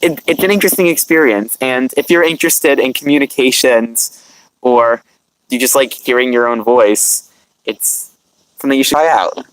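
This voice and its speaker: A man's voice: medium pitch, normal speed, dry recording, rough recording. A young man co-hosting a podcast reunion, joking and rambling in casual chat outdoors. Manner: joking, rambling, laughs often. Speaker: podcast co-host. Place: outdoors on a front lawn.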